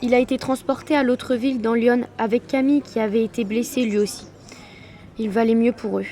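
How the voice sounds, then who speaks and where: A woman's voice: a little high, steady tone, brisk, mellow, close to an everyday voice. A woman playing a relative soberly recounting a family war story in a French audio drama. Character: female family member soberly recounting grandfather's wartime notebook.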